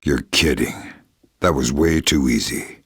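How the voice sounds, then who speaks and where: An actor playing a grizzled, middle-aged male covert agent in a video game, with a gravelly, deadpan, quietly threatening delivery. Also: extremely low pitch, a little slow, exaggerated voice. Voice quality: gravelly, husky. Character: grizzled veteran male covert agent, menacing, sardonic, dry-witted. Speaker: video game lead character. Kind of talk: dramatic video game acting.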